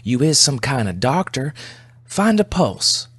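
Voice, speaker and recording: A man's voice: mid-range voice, sing-song, a little slow, fairly bright tone, husky, no room sound, voice pushed up, full character voice. Man voicing a bitter, folksy old country woman. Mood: weary, bitter. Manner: folksy, ungrammatical, blunt and foul-mouthed. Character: weary, irascible old rural undead woman, crude and bitter. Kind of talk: storybook character voice.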